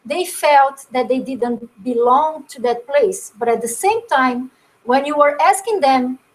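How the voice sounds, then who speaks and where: A woman giving a thoughtful webinar talk about her work, often pausing and repeating words as she searches for the next phrase. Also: sits high, low-quality recording. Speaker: guest speaker presenting her work. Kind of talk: webinar presentation.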